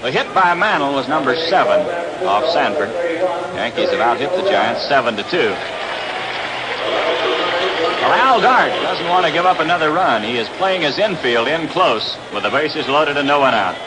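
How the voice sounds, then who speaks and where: A man's voice: on the high side, big pitch swings, normal speed, over a noisy crowd. A man with an American accent calls live baseball play-by-play on a noisy 1960s radio broadcast from an outdoor ballpark. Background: loud ambient ballpark noise.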